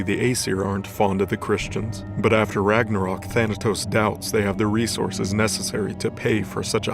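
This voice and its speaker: Male narrator, lower register, scripted dramatic storytelling over clear background music.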